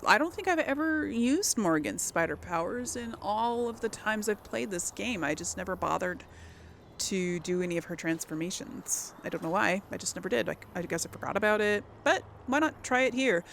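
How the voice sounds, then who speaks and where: A woman's voice: medium pitch, animated, moderate pace, vocal fry, fairly bright tone, slight background noise. A woman giving casual video game commentary, thinking aloud and exclaiming as she plays. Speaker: video game let's play host.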